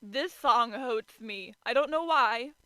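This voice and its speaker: A woman with a bright, fairly high voice reads a story aloud as an amateur streamer. She trips over words and plays up her exasperation. The sound is dry and close. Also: slow pace, clean tone, no echo. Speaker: streamer reading fan fiction aloud. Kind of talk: amateur dramatic reading with exasperated asides.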